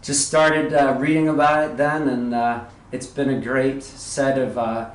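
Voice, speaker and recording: A man's voice: mid-range voice, a little slow. Guy telling his story in an interview, laid back, lots of uhs, echoey room, faint noise. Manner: relaxed, hesitant, with many "uh" fillers. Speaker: interview guest.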